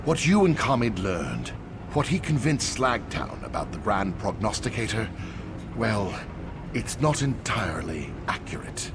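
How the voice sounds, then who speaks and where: A man playing an earnest fantasy game townsman, talking in a measured, articulate, conspiratorial manner. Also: on the low side, very animated, noisy background. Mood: confiding. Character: earnest male fantasy townsman confiding a secret. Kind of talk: AI-voiced fantasy game dialogue.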